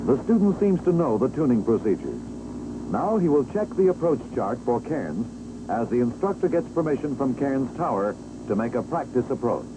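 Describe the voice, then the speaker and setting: An American man narrating a 1960s instructional film, explaining step by step in a measured, clear way. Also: medium pitch, big pitch swings. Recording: old 1960s film soundtrack, engine-like background noise.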